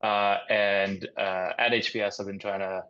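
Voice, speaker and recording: Flat low-voiced young man, lo-fi call. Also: smooth. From Sweden. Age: young adult. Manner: measured, hesitant with "uh". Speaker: panelist, MBA student. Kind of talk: self-introduction on a video call. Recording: dull lo-fi call audio.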